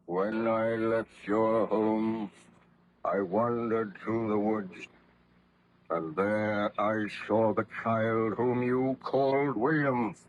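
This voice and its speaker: Vengeful monster on muffled old radio. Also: male voice, on the low side, steady tone. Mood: slow, wrathful. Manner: grandiose, slow, archaic theatrical speech. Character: vengeful, lonely monster, bitter and threatening. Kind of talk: 1930s radio horror acting. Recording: dull, noisy old radio recording.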